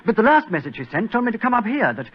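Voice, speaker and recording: Gullible young British gentleman, animated but hesitant, muffled vintage radio drama sound. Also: sits high, racing. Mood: bewildered. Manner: hesitant, repeating words. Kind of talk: 1940s radio drama acting. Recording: muffled old radio recording.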